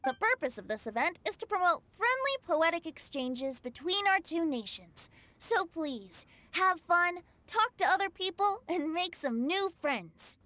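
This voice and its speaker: A woman's voice: very high-pitched, big pitch swings, measured pace, few pauses, bright, forceful tone, exaggerated voice. A woman voicing a breezy, earnest boyish young bard in an anime video game, with a lilting, friendly announcer's manner. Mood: welcoming. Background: game music.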